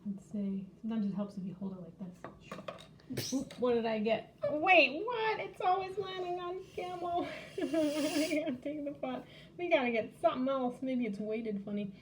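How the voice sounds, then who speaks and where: A woman's voice: high-pitched, moderate pace, a little hiss or hum. A woman hosting a children's library storytime, casually showing a craft and game step by step in simple words.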